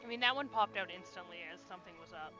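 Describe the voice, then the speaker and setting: A woman's voice: mid-range voice, very fast, highs cut off, music in the background. A woman streamer casually commenting while playing, offhand and blunt, swearing now and then.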